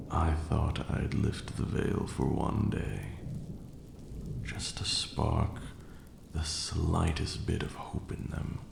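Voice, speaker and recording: An ancient, brooding vampire lord, played by a man, murmurs in an extremely low, heavily gravelled voice. He is cruel and grieving, drawing out his words slowly and theatrically in an ASMR roleplay, with rainy ambience clearly behind him. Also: roomy sound, background noise, exaggerated voice. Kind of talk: slow dramatic ASMR roleplay monologue.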